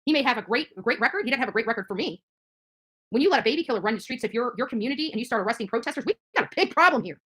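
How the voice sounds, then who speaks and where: Fast, fiery woman ranting. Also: a little high, expressive, a bit bright, breathy edge. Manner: confrontational, indignant, repetitive. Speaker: activist streamer. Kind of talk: angry direct-to-camera rant.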